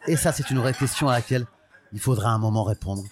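A man's voice: mid-range voice, fast, soft background music. A man in his sixties from Portugal, telling his story in an interview, thoughtful and often hesitating as he searches for words. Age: 60s. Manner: fond, proud of his home region. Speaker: guest, olive oil producer. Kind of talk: podcast interview, personal storytelling.

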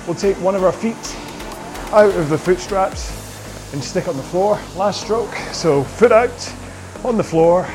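A man coaching a rowing workout, calling out cues in an upbeat, jokey way, his voice slightly hoarse. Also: high-pitched, unhurried. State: a bit hoarse. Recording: music playing behind. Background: workout music.

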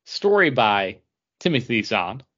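A man co-hosting a comic book podcast in casual chat, with halting, stop-and-start phrasing. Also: medium pitch, very animated, fairly bright tone, muffled recording. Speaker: podcast co-host.

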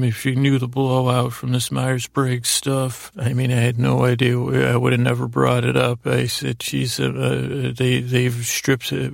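A man speaks in a heavily fried, gravelly voice with very little pitch movement, as the host of a bedtime podcast. His monologue wanders and halts, doubling back on stumbled words. The sound is close and dry, with faint music underneath. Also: no echo. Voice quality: creaky, gravelly. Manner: meandering, halting, stumbling over words. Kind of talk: rambling bedtime monologue to help listeners sleep.

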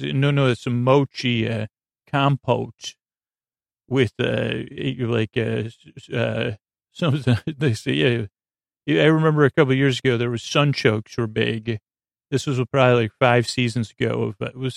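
A man hosting a bedtime podcast, meandering and soft-spoken, with frequent stammers and restarts. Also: mid-range voice, creaky, no room sound, clean studio sound. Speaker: podcast host. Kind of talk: rambling bedtime podcast talk.

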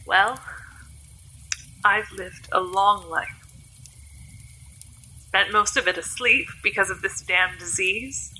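A woman's voice: mid-range voice, steady tone, takes it slowly, long pauses, sharp tone, a little hiss or hum, low-quality recording. A woman playing an ancient, sickly vampire-elf hybrid in an audio drama, guarded, wry and resigned in her delivery. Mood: resigned, sad.

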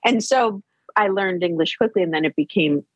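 A middle-aged woman, an author and podcast co-host, talking casually and telling stories in a lively way. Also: lowish voice, normal speed, slightly rough, no echo, lo-fi sound. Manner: lively storyteller, laughs easily.

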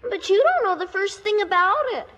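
A nosy American kid sister in a vintage radio comedy. The voice is extremely high, pert and skeptical. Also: female voice, animated, brisk, fairly bright tone, highs cut off. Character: pert, nosy little girl, coach's younger daughter. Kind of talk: 1950s radio comedy acting. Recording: vintage 1950 radio broadcast recording.

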